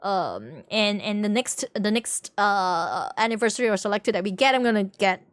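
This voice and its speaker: A woman's voice: medium pitch, expressive, moderate pace, dry recording. A woman livestreamer chatting casually with viewers in a rambling, slangy way, often repeating her phrases. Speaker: game livestreamer. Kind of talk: casual stream chat with viewers.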